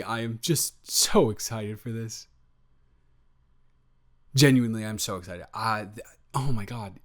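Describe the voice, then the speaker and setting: Man as sweet, excitable boyfriend for roleplay audio: medium pitch, playful, gushing, stammering, conversational. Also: lightly acted. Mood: excited, incredulous. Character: excited, affectionate, golden retriever boyfriend on vacation.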